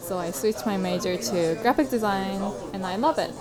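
A young woman from South Korea with a Korean accent, answering casual interview questions in English as her second language, pausing to find words. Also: mid-range voice, over a noisy crowd. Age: young adult. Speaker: interview guest, graphic designer. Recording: loud background music.

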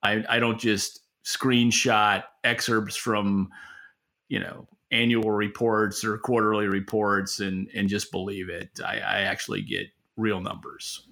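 A man's voice: lowish voice, flat delivery, a little slow, vocal fry, sharp tone. A man co-hosting a podcast, giving casual market commentary in a blunt, wry way. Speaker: podcast co-host.

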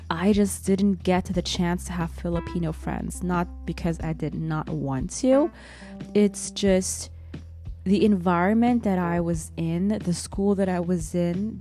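Mellow-voiced woman hosting a podcast. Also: lowish voice. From Germany. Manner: earnest, reflective, encouraging. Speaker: podcast host. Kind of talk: solo podcast monologue.

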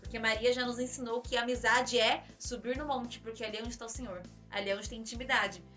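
A woman's voice: on the high side, steady tone, fast talker, pushed and bright. A Brazilian woman co-hosting a podcast, offering casual religious reflections in playful, slangy language with rhetorical questions. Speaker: podcast co-host. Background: music under the voice.

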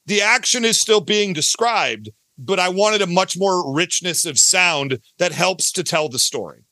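A man with a high, bright voice talks at length in a casual interview. He is emphatic and repeats phrases for weight. The sound is dry and close. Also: animated, a little slow, no room sound. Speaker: podcast guest, audio drama creator.

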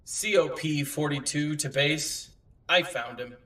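Guy doing a grumbly fed-up space cop, higher sharp voice, sarcastic, comedy radio play. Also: unhurried. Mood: cryptic, official. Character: exasperated, sarcastic male sci-fi city policeman. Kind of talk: comedic podcast radio drama acting.

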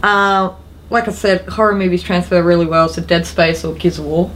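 A woman's voice: lowish voice, steady tone, normal speed, a little hiss or hum, poor audio. A woman in casual conversation as a podcast co-host. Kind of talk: casual chat.

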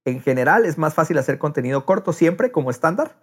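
Higher-pitched man hosting a casual podcast. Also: expressive, fast talker, husky. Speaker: podcast host. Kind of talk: casual interview conversation.

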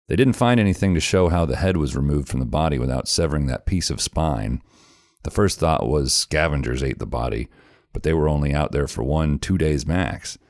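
A man, the show's host, reading a scary story aloud in a measured, grave storytelling manner. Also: extremely low pitch, a little mellow, no room sound, clean studio sound. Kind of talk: scripted narration of a horror story.

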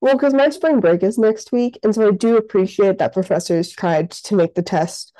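A young woman co-hosting a podcast while sick, her voice congested and stuffed up. Her tone is mellow and soft-edged, recorded close in a dry room. She rambles in a casual chatty way, leaning on "like" constantly. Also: medium pitch, moderate pace, no room sound, rough recording. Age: young adult. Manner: chatty, lots of "like" fillers. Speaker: podcast co-host. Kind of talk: casual chat.

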